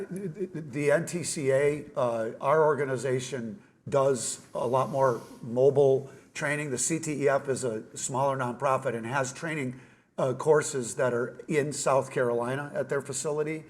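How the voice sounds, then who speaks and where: A man's voice: medium pitch, normal speed. A man on a conference panel answers an audience question plainly and informatively. He explains his organization's training with casual asides and a few fillers. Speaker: trade association trainer on a panel.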